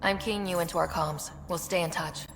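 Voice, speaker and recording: A woman's voice: on the low side, steady tone, fairly quick, gravelly, a bit bright, a little hiss or hum. A woman voicing a determined, level-headed young space explorer leader in a video game. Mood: steady.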